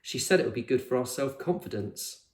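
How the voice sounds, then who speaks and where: A man voicing a prickly, haughty young girl with a brisk, dry manner in a storybook, in an echoey room. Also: medium pitch, lively intonation, darkish tone, natural voice.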